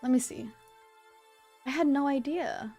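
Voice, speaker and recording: A woman's voice: sits high, a little slow, warm tone, faint music behind. A woman livestreamer chatting casually with her viewers in a bubbly, chatty manner. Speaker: livestreamer, virtual streamer host.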